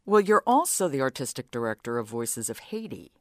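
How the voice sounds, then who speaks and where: A woman's voice: rumbling low, big pitch swings, fairly quick, darkish tone, no room sound, studio quality. A woman interviewer asking curious, conversational questions.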